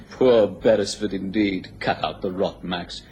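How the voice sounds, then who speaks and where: A man's voice: deep voice, big pitch swings, slow, a little mellow, slight background noise, heavily acted. An actor playing a taunting upper-class businessman in a radio drama, with a sardonic, goading manner. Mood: mocking. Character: sneering, taunting upper-class English businessman, a bitter rival.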